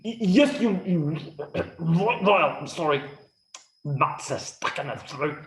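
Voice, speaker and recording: A man's voice: on the high side, animated, takes it slowly, voice pushed up. A man voicing a flustered, obsequious storybook prime minister who stammers and repeats his words. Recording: roomy, echoing sound.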